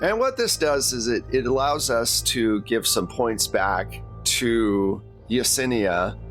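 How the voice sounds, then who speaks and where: A man's voice: medium pitch, animated, slow pace, fairly bright tone, faint music behind. A man working as game master, recapping and narrating a tabletop game in an informal, conversational way.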